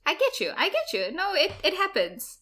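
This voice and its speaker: A woman's voice: extremely high pitch, wildly swooping pitch, moderate pace, sharp tone. A South African woman in her thirties hosting a podcast, chatting in a friendly way and laughing often. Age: 30s. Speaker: podcast host. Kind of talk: casual interview chat.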